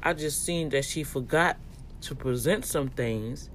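Slow, deliberate Louisiana-accented host. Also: medium pitch, background noise. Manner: talks slowly and deliberately on purpose, in a teaching tone. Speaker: podcast host and tax office manager. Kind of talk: casual podcast monologue.

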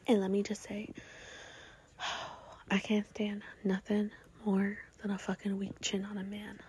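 Young female podcast host, breathy near-whisper, blunt, swears a lot, rambling and casual. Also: medium pitch, completely flat delivery, measured pace, a little mellow. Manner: blunt, profane, rambling with many fillers. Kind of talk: casual solo podcast talk.

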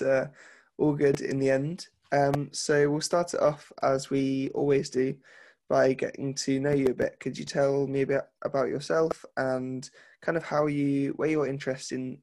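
Male podcast host, gravelly, casual questioning tone. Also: mid-range voice, little pitch movement, normal speed, darkish tone. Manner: scripted intro, then casual questions with fillers. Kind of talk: podcast interview.

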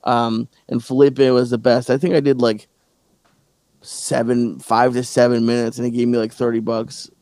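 Halting, stammering male podcast host. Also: mid-range voice, level tone, darkish tone, dry recording. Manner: halting, many restarts and fillers. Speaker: podcast host and stand-up comic. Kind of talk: casual podcast chat.

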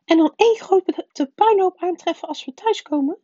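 A high-pitched, shrill middle-aged storybook aunt, acted out by a woman reader, snappish and easily agitated, yet doting. The voice sits in a dry, dull recording. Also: expressive, a little slow, warm tone, no echo. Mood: snappish, angry. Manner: snarling, agitated, gushing over her son.

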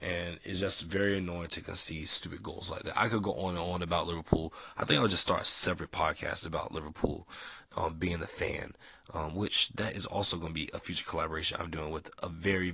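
A young man with a very low, husky voice that sounds nasal and dried out from a cold. He rambles along casually with plenty of ums, bright and forward in tone. The sound is close and dry. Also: brisk, no echo. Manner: relaxed, rambling with many ums. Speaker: vlogger. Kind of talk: casual audio log.

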